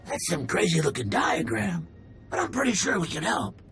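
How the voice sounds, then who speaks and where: Excitable, high-pitched alien tech junkie. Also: male voice, moderate pace, creaky, soft background music, heavily acted. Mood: sly, interested. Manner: slangy, hyped, cheeky. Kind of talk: game cutscene acting.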